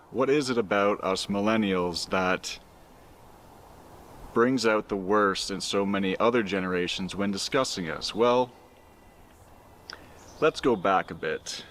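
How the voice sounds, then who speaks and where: A man in his thirties delivering a deliberate, explanatory video monologue. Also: fairly low pitch, a bit bright, slight background noise. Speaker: video essayist.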